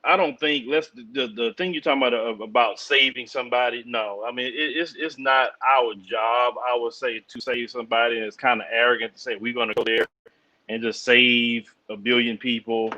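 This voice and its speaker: A man's voice: medium pitch, steady tone, normal speed, sharp tone. A man calling into a livestream, polite and hesitant, restarting his sentences. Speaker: caller, fellow channel host. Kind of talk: call-in conversation. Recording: dull lo-fi call audio.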